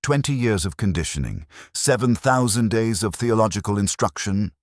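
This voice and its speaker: A man narrating a scripted dramatic story in a solemn, measured way, with short clipped statements. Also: lowish voice, lively intonation, no room sound.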